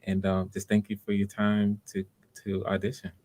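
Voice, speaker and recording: Male audition host, low voice, casual and encouraging, repeats himself. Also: completely flat delivery, moderate pace, darkish tone. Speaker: casting director hosting live voice auditions. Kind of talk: casual live audition chat.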